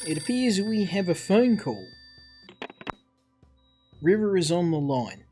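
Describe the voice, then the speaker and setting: Male game reviewer, slightly high voice, running commentary, reading text aloud, soft guitar music behind. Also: very animated, slow.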